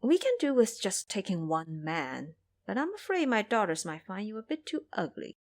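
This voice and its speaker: A woman playing a shrewd, lively matchmaking mother. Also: medium pitch, normal speed. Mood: frank, coaxing. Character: shrewd matchmaking mother of three daughters, rich household.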